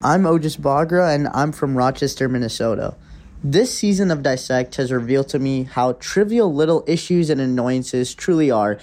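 A man's voice: medium pitch, a little mellow. Man, reflective listener message, mid-range voice.

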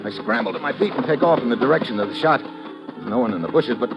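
An actor playing a cool, wry, tough adventurer in hard-boiled old radio drama, clipped, deadpan and slangy. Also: male voice, medium pitch, fast, music in the background, close to an everyday voice. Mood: terse, tense. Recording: dull old radio recording.

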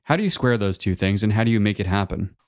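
A man's voice: lowish voice, lively intonation, fast talker, dry recording. A man interviewing a startup founder, asking long, winding questions.

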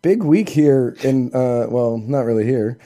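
Mellow American man, rambling podcast co-host. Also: medium pitch, lively intonation, unhurried. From Northern Virginia, United States. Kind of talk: casual conversational podcast chat.

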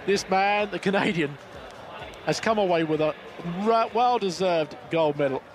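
A man calls athletics live in a high, excited voice. It sounds like a stadium broadcast clip, with clear noise around the voice. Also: normal speed. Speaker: athletics TV commentator. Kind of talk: live sports commentary.

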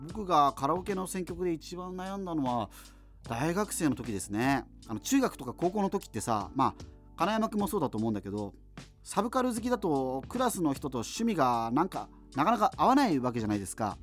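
An actor playing a chatty, self-deprecating radio host who muses casually through listener letters, with music behind him. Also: male voice, fairly high pitch, sing-song, fairly quick. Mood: wry reminiscing. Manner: rambling, colloquial, musing asides.

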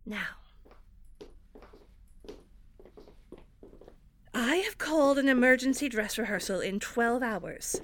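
A woman's voice: a little high, expressive, moderate pace, slight background noise, natural voice. A woman playing a stern, demanding theater director with a formal, clipped manner, in an audio drama. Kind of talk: audio fiction acting.

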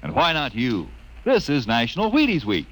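A man's voice: mid-range voice, wildly swooping pitch, normal speed, a bit bright. A man reading an old-time radio advertisement as announcer, in a folksy, chatty, upbeat way.

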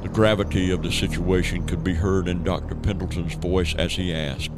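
A man's voice: deep voice, steady tone, normal speed, over loud music. A man narrating a horror audio drama in a dramatic, scripted storytelling style.

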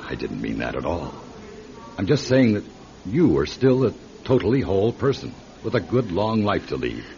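A man's voice: deep voice, very animated, moderate pace. An actor playing a fatherly older doctor in a radio drama, soothing, firm and reassuring. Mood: firm, consoling. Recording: muffled radio recording with faint hiss.

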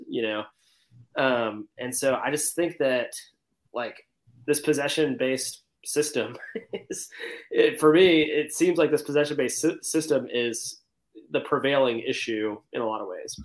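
A man speaking as a panelist in a casual sports podcast discussion. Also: mid-range voice.

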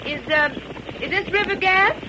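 An actress playing an anxious, hesitant young bride from back east in an old radio western. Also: female voice, very high voice, normal speed. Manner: hesitant, stammering, breathless questions. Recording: noisy old radio drama recording.

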